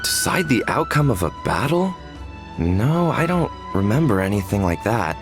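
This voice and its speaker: Earnest, animated tribesman. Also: on the low side, moderate pace, over music. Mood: unsure. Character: modest, earnest tribesman proud of family stories. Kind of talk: video game voice acting.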